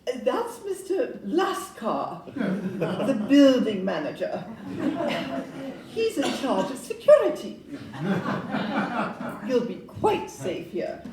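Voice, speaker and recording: In a roomy, distant live recording with faint noise, an actress plays a Victorian estate agent woman who speaks unhurriedly. She is polite, emphatic and salesmanlike, her high voice swinging widely as she puts a gloss on every flaw. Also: wildly swooping pitch, slow pace, pauses often, crowd noise, exaggerated voice. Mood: brightly evasive. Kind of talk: audio-play acting before an audience.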